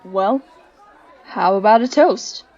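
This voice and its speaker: A woman's voice: mid-range voice, slow, a little mellow, muffled recording, some chatter behind. A woman voicing a bold, affectionate female monster with a casual, punchy delivery in a story reading. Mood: warm, playful. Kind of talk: dramatic fan fiction reading.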